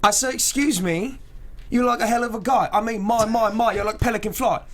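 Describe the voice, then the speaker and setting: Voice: medium pitch, fairly quick, a bit bright, slight background noise, close to an everyday voice. A performer playing a dramatic, cheeky but insecure youth in a comedy table read. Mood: showy.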